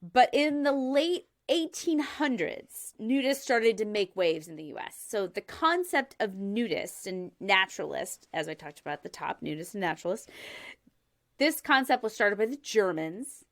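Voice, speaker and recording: A woman's voice: mid-range voice, animated, moderate pace. A woman in her thirties hosting a casual chat, laughing often and explaining her research step by step. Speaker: podcast host presenting research.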